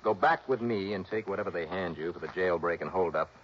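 A man playing a cool, low-voiced private eye. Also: quick pace, natural voice. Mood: steady. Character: tough, cool-headed male private investigator. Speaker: insurance investigator lead. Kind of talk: 1960s radio drama acting and narration. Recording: dull vintage radio broadcast, faint hiss.

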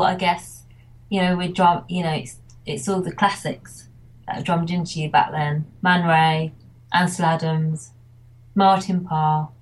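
A woman's voice: on the low side, low-quality recording. English woman wedding photographer, chatty interviewee, rambling and restarting. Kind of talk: casual interview.

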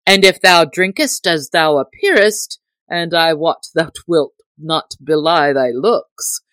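A woman's voice: lowish voice, a bit bright, no room sound. A woman voicing a jolly, teasing young male outlaw in hearty, archaic storybook speech.

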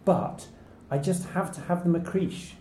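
A man's voice: high voice, normal speed, mellow. Enthusiastic man in an echoey room. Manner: enthusiastic, jokey. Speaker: podcast co-host. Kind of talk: casual chat about choral music. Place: office room.

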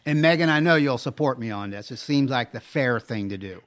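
A man co-hosting a podcast in casual conversation, telling stories in an animated, emphatic way. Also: medium pitch, brisk. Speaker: podcast co-host.

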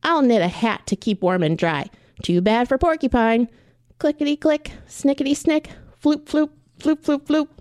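A woman's voice: high voice, expressive, normal speed, darkish tone, dry recording, clean studio sound. A woman voicing a possessive but loyal female badger in a children's storybook, with an emphatic, declaring manner.

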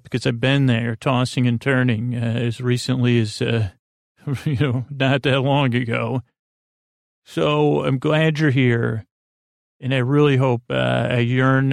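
A man hosting a sleep podcast, rambling softly with many fillers and restarts in a lulling, creaky voice. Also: medium pitch, no echo, clean studio sound. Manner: meandering, halting, full of fillers and restarts; soft, lulling, unhurried.

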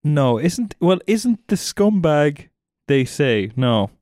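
A man's voice: on the high side, very animated, slow pace, darkish tone, dry recording, studio quality. A man hosting a podcast, chatting casually and teasing playfully with some swearing as he runs a word game. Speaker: podcast host. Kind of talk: casual banter over a word guessing game.

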